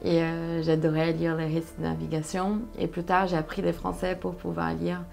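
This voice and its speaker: A young woman from Brazil, a native Portuguese speaker with a Brazilian accent, telling her story conversationally and haltingly as she searches for words. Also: deep voice, completely flat delivery, vocal fry, darkish tone, soft background music. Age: young adult. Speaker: interview guest, solo sailor.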